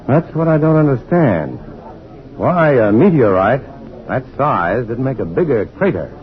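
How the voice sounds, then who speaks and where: A dull, vintage radio recording of a mild American scientist. He is modest and thoughtful, a little hesitant, and his pitch moves around a lot. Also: big pitch swings, measured pace. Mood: puzzled. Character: mild, modest scientist, curious and faintly awkward. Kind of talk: mid-century American radio drama acting.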